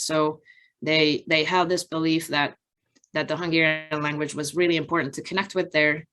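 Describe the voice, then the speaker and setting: Woman, academic presenter, lowish pitch, measured delivery, halting phrasing, frequent pauses, explanatory. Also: bright, forceful tone. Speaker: academic researcher presenting study findings. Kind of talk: conference research presentation, partly improvised from slides.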